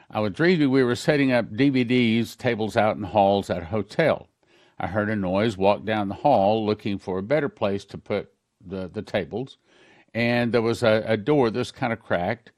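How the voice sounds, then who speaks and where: A man's voice: fairly low pitch, dry recording, studio quality. An older man, a pastor, earnestly recounting dreams in a sermon. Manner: earnest storytelling, reads letters aloud quickly.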